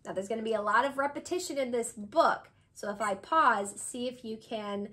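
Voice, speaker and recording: A woman around her thirties reading a children's picture book aloud, expressive and sing-song, with drawn-out pauses for young listeners. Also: on the high side. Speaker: read-aloud host, tutor. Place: small indoor room with bookshelves.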